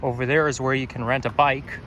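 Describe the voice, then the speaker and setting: A young man from Toronto, slightly hoarse with a frog in his throat, rambling and correcting himself as he narrates a street walk outdoors. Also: medium pitch, level tone, fairly quick, fairly bright tone. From Toronto, Canada. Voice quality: strong, slightly growly. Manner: rambling, self-correcting, musing aloud. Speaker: walking-tour vlogger. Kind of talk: casual walking narration. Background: faint passing traffic.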